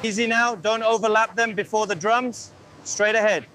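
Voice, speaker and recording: A man's voice: sits high, steady tone, normal speed, music in the background. A man directing factory work in scripted dialogue.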